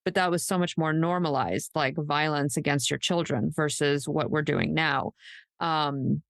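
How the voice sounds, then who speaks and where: A woman co-hosting a podcast in casual true crime discussion, thinking out loud in an emphatic, conversational way peppered with "like". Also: low voice, steady tone, measured pace, gravelly, no room sound, studio quality. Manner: conversational, speculative, emphatic, says "like" often. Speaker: podcast co-host.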